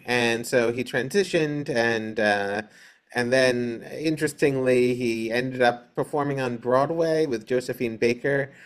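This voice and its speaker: Male interview guest, theater director type, average pitch, hesitant, pause-filled, explanatory. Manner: hesitant, halting delivery with many pauses. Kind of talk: casual explanatory interview talk.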